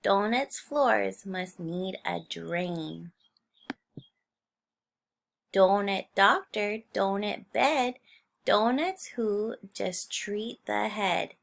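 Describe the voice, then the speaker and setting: A woman reads a children's story aloud like a teacher to her class. Her voice has a strong creak and sits at a middling pitch. She speaks expressively, in an unhurried read-aloud style. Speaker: teacher reading a picture book aloud to children.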